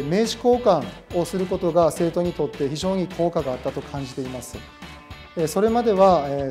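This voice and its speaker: Middle aged teacher guy, polite n measured interview talk, soft mellow tone, faint music under. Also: fairly high pitch. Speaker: high school teacher. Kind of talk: formal interview remarks. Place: school meeting room indoors.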